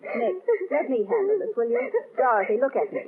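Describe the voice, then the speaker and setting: An actress playing a poised, quick-witted detective's wife, wry and direct, in 1930s radio style. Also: female voice, a little high, very animated. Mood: gently probing. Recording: tinny 1930s radio recording.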